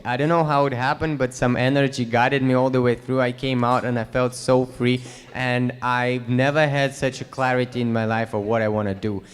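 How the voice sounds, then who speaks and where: A young man from Russia, sincerely recounting his life as an interview guest, halting with fillers. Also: medium pitch, clean tone. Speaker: guest, new monk. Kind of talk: earnest personal account. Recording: long hall reverb.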